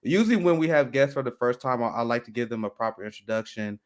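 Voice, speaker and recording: A man hosting a live talk show, speaking with many restarts and pauses. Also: mid-range voice, animated. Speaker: host.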